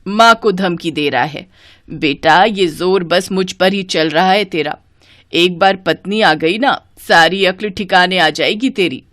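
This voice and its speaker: Woman playing a low-voiced teasing Indian mother. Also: measured pace, fairly bright tone, no room sound, studio quality. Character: middle-aged mother and mother-in-law, teasing, fond, gently scolding.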